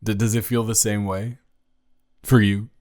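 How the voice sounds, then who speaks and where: A young man playing a flustered, tender college student talking intimately to a childhood crush in an improvised audio roleplay, stammering and halting. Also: lowish voice, little pitch movement, a little mellow, lightly acted. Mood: nostalgic, tender.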